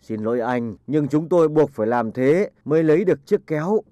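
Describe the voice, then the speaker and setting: A man playing a calm, formal, blundering surgeon in a comic cartoon. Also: on the high side, fairly quick, dry recording, lo-fi sound, soft background music, lightly acted. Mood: matter-of-fact. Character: calm, matter-of-fact male surgeon, blundering but formal.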